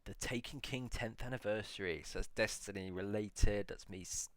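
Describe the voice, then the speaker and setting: A man in a casual solo video, musing aloud as he reads out old titles slowly, with many pauses and fillers. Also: on the low side, no echo. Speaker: video blogger.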